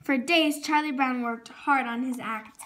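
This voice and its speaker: A woman's voice: high voice, a little slow, fairly bright tone. A female reading a children's storybook aloud expressively, sometimes stumbling over words and correcting herself. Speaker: storybook reader.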